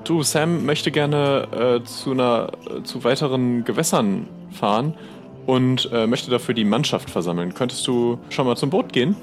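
A man's voice: medium pitch, normal speed, soft background music. A man improvising casual, tentative dialogue as a player in an audio role-play, often asking questions.

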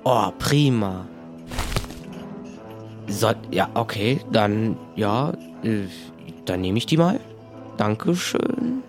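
A man's voice: fairly low pitch, big pitch swings, slow pace, long pauses, over music. A man playing a polite, eager, slightly overwhelmed pirate crewman in an improvised audio play, speaking hesitantly. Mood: pleased.

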